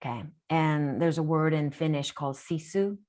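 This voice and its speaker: A woman with a low voice gives a caller advice. She explains at length and often restarts her phrases. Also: normal speed, darkish tone. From Japan. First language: Japanese. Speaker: healer answering a caller. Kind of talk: live group call, casual guidance.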